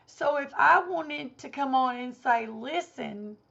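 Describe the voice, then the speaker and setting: A woman vlogger giving an indignant opinion rant to camera, hoarse because she has a cold and is losing her voice. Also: high-pitched, slow, pushed and bright, muffled recording. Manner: indignant, emphatic.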